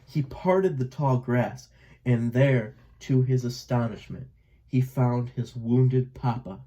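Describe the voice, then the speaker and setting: A man narrating a children's storybook aloud in an expressive read-aloud style. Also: mid-range voice, slow pace, highs cut off. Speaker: storybook reader.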